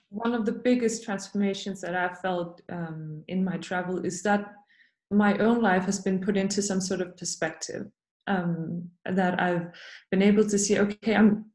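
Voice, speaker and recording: A woman from Denmark talks as a podcast guest, thoughtful and halting, filling her pauses with soft "um"s. Her voice sits at a middle pitch and carries a roomy echo around it. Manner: thoughtful, hesitant with many fillers. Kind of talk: podcast interview.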